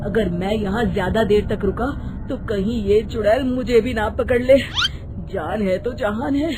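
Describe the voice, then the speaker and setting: A woman's voice: medium pitch, a little slow, background noise, natural voice. A woman voicing a concerned, cautious village man in a folk story, given to exclaiming. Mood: anxious. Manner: exclaiming, questioning.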